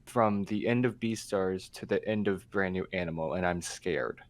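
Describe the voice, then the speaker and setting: A man with a lowish voice chats casually as a podcast co-host. The sound is dry and close, and he offers opinions in an informal, conversational way. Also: normal speed, no echo. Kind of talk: casual opinionated fan discussion.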